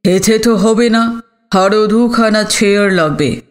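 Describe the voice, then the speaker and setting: A man playing an elderly, affable, fussing gentleman in an audio story, with drawn-out, deliberate phrasing. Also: high voice, fairly even intonation. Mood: busy, welcoming. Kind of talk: audio story dramatic acting.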